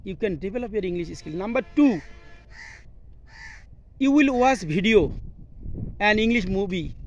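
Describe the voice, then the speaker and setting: Slow, high-pitched Bangladeshi man teaching. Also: lively intonation, highs cut off, soft background music. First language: Bengali. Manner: halting, simple learner's English with short broken phrases. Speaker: English teacher and video host. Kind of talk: instructional vlog, talking to camera. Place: outdoors, open scenic spot. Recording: outdoor noise, faint birds calling.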